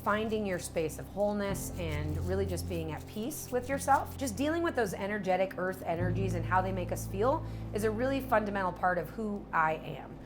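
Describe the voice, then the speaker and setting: A woman's voice: medium pitch, expressive, fairly quick, few pauses. A woman chef talking reflectively in a documentary interview, earnest and open, with frequent fillers. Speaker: chef and motorcycle rider. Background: music.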